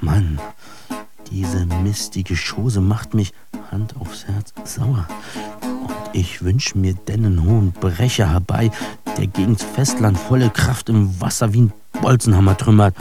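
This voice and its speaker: An actor playing an embittered, disillusioned fighter in a radio drama monologue, declaiming and cursing in coarse words. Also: male voice, on the low side, measured pace, over music. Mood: bitter, cursing.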